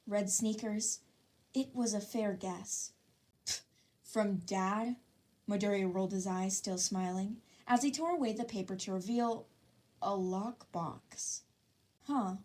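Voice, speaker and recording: A woman narrating a fan-fiction story in an expressive reading style. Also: mid-range voice.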